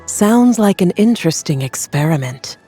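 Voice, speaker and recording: A scholarly witch from a fantasy game, voiced by a woman, cool and curious. Her tone is dark and slightly low, with faint music underneath. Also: lively intonation, moderate pace, lightly acted. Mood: intrigued. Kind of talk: video game dialogue.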